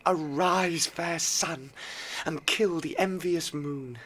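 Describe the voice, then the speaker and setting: An actor playing an ardent, yearning young nobleman in a Shakespearean audio play, moving from breathy hush to swelling verse. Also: fairly high pitch, takes it slowly. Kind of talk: Shakespearean radio play soliloquy.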